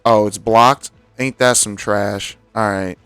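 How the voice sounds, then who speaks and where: A man with a fairly low voice commentates on a game he is playing. He speaks informally and with plenty of slang, as if chatting to viewers, with game music playing behind him. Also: steady tone, slow pace, fairly bright tone, clean tone, dry recording. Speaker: game streamer. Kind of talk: casual gameplay commentary to viewers. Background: video game music.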